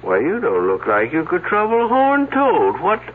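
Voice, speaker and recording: A folksy stammering deputy sheriff. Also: male voice, high-pitched, huge pitch swings, moderate pace, full character voice. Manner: folksy drawl, stammering repeats. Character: drawling small-town deputy sheriff, man, folksy and slow-witted. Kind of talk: 1970s radio drama acting. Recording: lo-fi phone-band radio recording with hiss.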